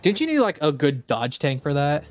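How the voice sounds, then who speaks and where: A man's voice: on the high side, wildly swooping pitch, normal speed, over loud music. A man giving casual live game commentary as a streamer, rambling and sweary with enthusiastic asides. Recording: slightly dull, dry microphone.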